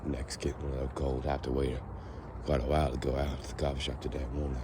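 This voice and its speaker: A young man with a raspy, hoarse voice, rambling to the camera as he walks outdoors in a casual vlog. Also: a bass voice, level tone, quick pace. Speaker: vlogger. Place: outdoors, walking on a foggy street. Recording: noisy outdoor recording with passing traffic.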